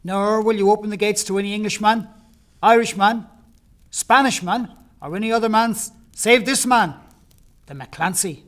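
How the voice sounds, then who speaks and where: A man's voice: high-pitched, normal speed, fairly bright tone. A man playing a commanding, suspicious Gaelic chieftain in an audio play, with an imperious, emphatic delivery. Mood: stern command. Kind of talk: audio play, declaimed dialogue.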